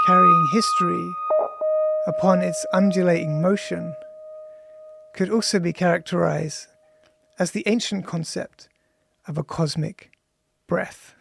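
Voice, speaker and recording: A man with a high, noticeably creaky voice narrates an audiobook as its author. His delivery is measured and contemplative, with deliberate pauses between phrases. Music plays behind him in the studio recording. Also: fairly even intonation, pushed and bright. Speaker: author reading own audiobook.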